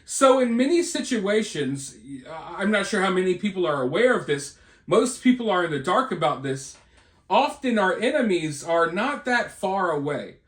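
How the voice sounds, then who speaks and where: High-pitched American man in an echoey room. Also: big pitch swings, moderate pace, fairly bright tone. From United States. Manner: conversational and rhetorical, checking in with listeners. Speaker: online video commentator and channel host. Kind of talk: informal speculative news commentary. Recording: roomy, echoing voice recording.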